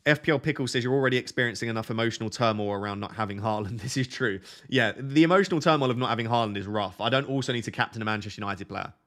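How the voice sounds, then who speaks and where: A young man hosting a fantasy football live stream, reading viewer questions aloud and answering them in a relaxed, soothing voice. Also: medium pitch, racing, hardly any pauses. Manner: self-described relaxing, soothing voice. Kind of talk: casual live stream chat.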